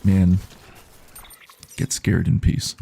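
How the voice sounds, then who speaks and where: A man with a baritone voice giving calm, unhurried commentary while he plays a game and reads chat on a live stream. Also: a little hiss or hum. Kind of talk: relaxed live gameplay commentary.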